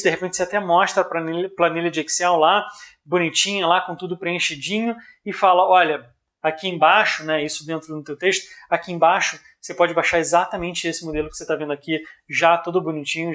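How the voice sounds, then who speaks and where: Higher-pitched Brazilian man explaining informally. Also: a bit bright. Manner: didactic and conversational, with frequent check-in tags. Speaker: presenter of a business analysis video. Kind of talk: informal explanatory business talk.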